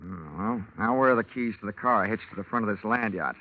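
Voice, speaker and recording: An actor playing a wry, hard-boiled insurance investigator, dry and wisecracking, in a 1940s radio detective drama. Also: male voice, fairly low pitch, fairly quick, natural voice. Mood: firm, wary. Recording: dull vintage radio recording.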